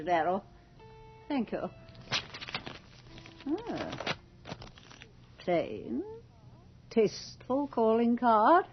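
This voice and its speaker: An actress in a radio drama playing an imperious, callous society hostess with a haughty, theatrical, commanding way of speaking. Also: female voice, medium pitch, husky, exaggerated voice. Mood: gleeful, eager. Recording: dull 1980s radio drama recording.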